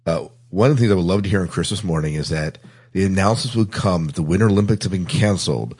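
A man's voice: sits low, fast talker, a little mellow, breathy edge. An American man hosting a casual podcast chat, easygoing and often repeating short phrases of agreement. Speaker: podcast host.

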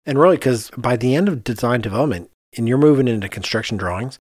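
A man's voice: medium pitch, expressive, fast talker, darkish tone, dry recording, clean studio sound. A man co-hosting a podcast, explaining things casually and conversationally, often thinking aloud. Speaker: podcast co-host, architect.

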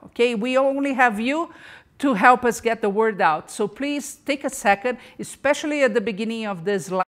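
A woman hosting a live podcast interview, enthusiastic and chatty, talking over a video call. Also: fairly high pitch. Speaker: podcast host.

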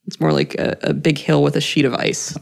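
A woman's voice: low voice, steady tone, fairly quick, creaky. A woman from Virginia, a startup founder, lightly teasing and laughing easily in a casual chat.

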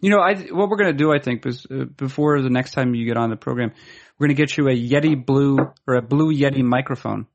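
A man's voice: medium pitch, fast, muffled recording. A man hosting a podcast, chatting casually and teasing his guest. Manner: joking, teasing banter. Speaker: podcast host.

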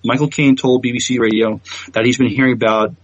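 A man's voice: mid-range voice, level tone, fast, no room sound. Man podcasting, dry slightly dull sound. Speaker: podcast co-host. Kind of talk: casual chat and reading out entertainment news.

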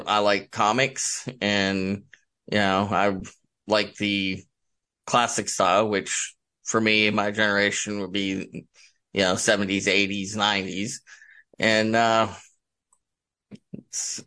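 A middle-aged man answering interview questions as a guest author, halting and thinking aloud as he talks. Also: on the low side, fairly bright tone.

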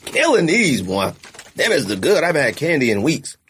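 Male podcast host, lively swinging pitch, loose slangy banter, faint hiss. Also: a little fast. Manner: laid-back slangy banter, laughs often. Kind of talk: casual chat, snack tasting.

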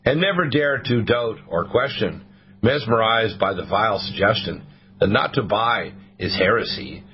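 A hoarse man hosting a talk radio show, reading a poem aloud and stopping often to restart his sentences. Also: on the low side, animated, normal speed. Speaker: host. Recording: dull lo-fi radio broadcast.

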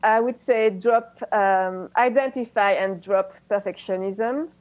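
A woman with a French accent, a native French speaker, talking as a guest about coaching in a casual interview, enthusiastic and halting, with many restarts. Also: mid-range voice.

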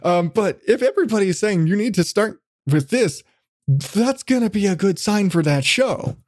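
Male podcast host, slightly high voice, dry close sound. Rambling, self-deprecating, sweary, conversational. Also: expressive, normal speed, a little mellow, no echo. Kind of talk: casual chat interview.

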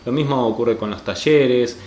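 A man's voice: medium pitch, normal speed, a little mellow. A man with an Argentine accent presenting a podcast and chatting as its host. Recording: roomy echo, faint music behind.

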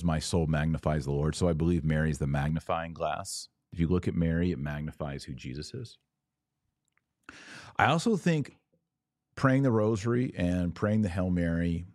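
A man's voice: low voice, warm tone, dry recording, studio quality. A man hosting a podcast, talking casually about faith and answering viewers, explaining earnestly in a conversational way with rhetorical questions. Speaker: podcast host. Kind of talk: casual religious talk answering viewer comments.

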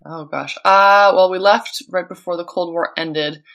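A woman's voice: fairly low pitch, steady tone, measured pace, fairly bright tone. A woman in her thirties with a North American accent, chatting casually as an interview guest. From born in Lithuania, grew up in Canada and the United States. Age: 30s. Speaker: podcast guest, digital advertising professional.

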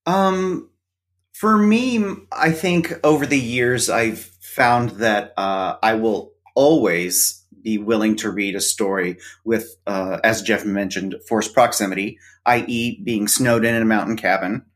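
A middle-aged man, a podcast co-host, talking casually as an interview guest and filling his pauses with ums and uhs. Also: fairly low pitch. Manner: hesitant, many fillers. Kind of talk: casual conversation.

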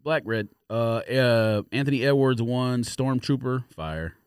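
A man's voice: medium pitch, measured pace, clear, resonant tone, no echo, studio quality. A man hosting a podcast with casual, slangy banter, blunt and teasing in the way he talks. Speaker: podcast host. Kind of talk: casual banter reviewing sneaker releases.

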